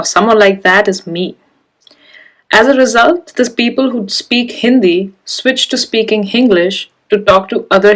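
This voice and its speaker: Indian woman giving a measured talk. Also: medium pitch, low-quality recording. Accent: Indian English. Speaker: conference speaker. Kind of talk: scripted conference talk.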